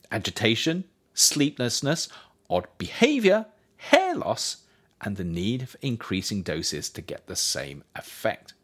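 A man reading his own nonfiction book aloud as scripted narration, with measured, deliberate phrasing. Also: deep voice, a bit bright.

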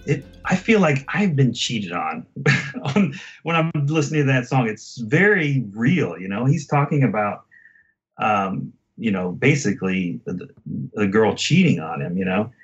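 A man's voice: lowish voice, very animated, measured pace, highs cut off, faint music behind. A man in a casual album discussion as a podcast host, talking in a reflective, hesitant, conversational way.

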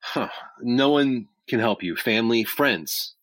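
A man playing an earnest, caring crisis hotline volunteer in an audio drama, speaking in a measured, hesitant, sincere way. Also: mid-range voice, expressive, a bit bright, no echo, poor audio. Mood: calm, concerned. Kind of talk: naturalistic two-person audio drama acting.

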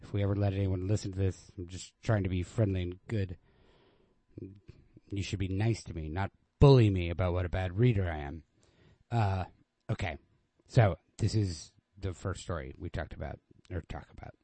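A man's voice: sits low, moderate pace, mellow, no echo. A man hosting casually and reading a story aloud in an informal, unrehearsed, self-deprecating way.

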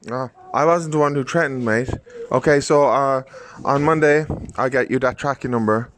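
A man's voice: mid-range voice, moderate pace, a bit bright. A man leaving insistent, defensive voice messages to a buyer, calling him "mate" and pressing him to act. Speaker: seller in voice messages. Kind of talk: recorded voice messages. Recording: noisy phone voice message.

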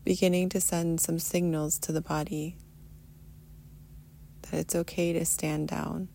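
A woman leading a guided meditation in a soothing, unhurried manner, often trailing into a breathy near-whisper. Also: lowish voice, flat delivery, dark tone, a little hiss or hum. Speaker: guided meditation teacher, podcast host. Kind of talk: guided meditation, soft instruction.

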